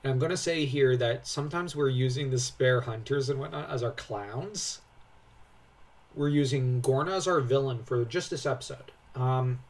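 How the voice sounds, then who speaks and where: A man's voice: mid-range voice, recorded from a distance, rough recording. A man giving casual analytical commentary as a co-host, explaining his opinions.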